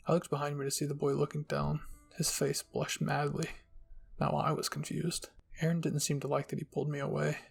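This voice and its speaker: Husky, gravelly male story narrator. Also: on the high side. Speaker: channel host and story narrator. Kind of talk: scripted first-person story narration.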